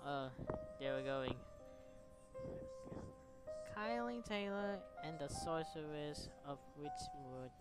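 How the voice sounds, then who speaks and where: A man casually commenting on a game playthrough and reading aloud in a halting, stumbling way. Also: a little high, loud music behind the voice. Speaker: gaming streamer reading along.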